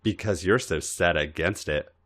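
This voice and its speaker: A man voicing an older wrestler, calm, dry and insistently teasing, in an audio fan story. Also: low voice, moderate pace.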